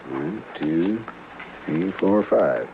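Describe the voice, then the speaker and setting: A man's voice: deep voice, lively intonation, drawn-out words, creaky, heavily acted. An actor playing a cowardly, boastful small-time gunman in a radio western, with a rural American West accent and a petulant, defensive manner. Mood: nervous, meek. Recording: narrow-band old radio recording, faint noise.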